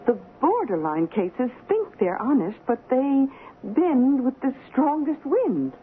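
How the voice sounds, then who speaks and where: An actress playing a kindly confidante in a radio drama, gently explaining and reassuring. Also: female voice, high-pitched, big pitch swings, slow, phone-quality sound, heavily acted. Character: kindly, reassuring confidante counseling a troubled girl. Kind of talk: old-time radio drama acting. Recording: thin, noisy old radio recording.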